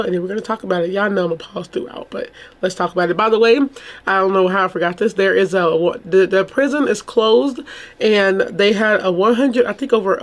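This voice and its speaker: Female vlogger, slightly hoarse, casual and rambling, exclamatory commentary in an informal chatty style. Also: mid-range voice. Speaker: YouTube true crime host.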